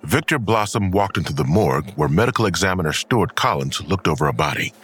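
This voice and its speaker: An actor playing a grim, detached narrator, reading scripted audio drama narration. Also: male voice, sits low, lively intonation, a little fast, fairly bright tone, slightly rough, heavily acted. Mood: grim detachment.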